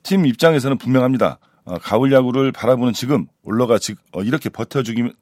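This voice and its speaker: A man analyst recapping a baseball game in a measured, steady way, with frequent filler sounds. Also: mid-range voice, no echo, clean studio sound.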